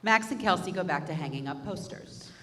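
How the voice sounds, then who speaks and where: A woman's voice: fairly low pitch, expressive, a little fast, fairly bright tone, slight background noise. Woman reading stage directions expressively.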